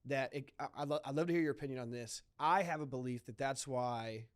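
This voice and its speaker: A young man co-hosting a casual church talk, eager and affirming, jumping quickly into his own points. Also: medium pitch. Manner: eager, affirming, rapid-fire. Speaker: church staff member and podcast co-host. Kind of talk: casual conversational discussion.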